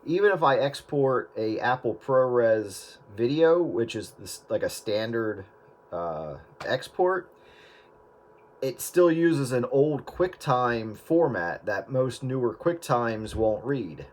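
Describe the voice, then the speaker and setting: A man's voice: mid-range voice, lively intonation, slow. A man chatting casually about editing old video, rambling with many fillers and restarts. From US Northeast. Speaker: video editor. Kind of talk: casual chat.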